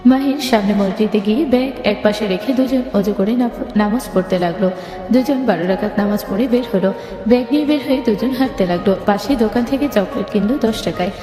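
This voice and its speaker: A woman's voice: mid-range voice, brisk. A woman narrating a scripted story in the third person. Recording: echoey long reverb, music bed.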